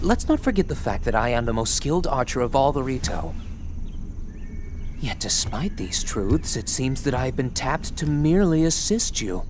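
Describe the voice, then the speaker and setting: Guy doing a cocky bird archer from a game, talks down to everyone, lively swoopy pitch. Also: background noise, close to an everyday voice. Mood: scornful. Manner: smug, condescending, theatrical. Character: arrogant boastful male bird warrior, proud archer. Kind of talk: video game cutscene acting.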